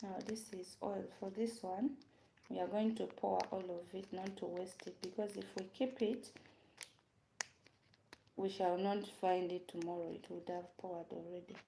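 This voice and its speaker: A woman's voice: on the low side, steady tone, normal speed, creaky. A young woman from Uganda with a Ugandan accent, a native Luganda speaker, chatting casually with viewers while cooking. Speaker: vlogger. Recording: noisy home recording.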